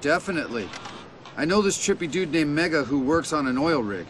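A man's voice: a little high, animated, fairly quick, fairly bright tone, a little hiss or hum. A man playing a laid-back, nostalgic veteran skateboarder in a video game, casual and slangy. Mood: reassuring. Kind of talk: video game cutscene acting.

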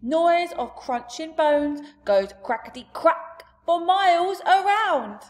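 A woman reading a storybook aloud, voicing a huge, jolly male giant who booms and shouts in mangled grammar. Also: expressive, slow pace, full character voice. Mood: booming.

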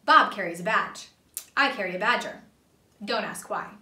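A woman voicing a hot-tempered, self-serious male cartoon vegetable detective in a storybook, with snappy, deadpan delivery. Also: mid-range voice, normal speed, reverberant, distant mic. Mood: self-important.

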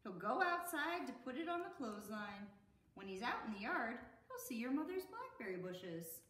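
A middle-aged American woman reading a picture book aloud to children in an expressive, sing-song way. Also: a little high, moderate pace. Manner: sing-song, expressive, addressing young listeners. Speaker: children's storytime host. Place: indoor room at an arts center. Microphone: camera a few metres away. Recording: roomy echo, distant camera mic.

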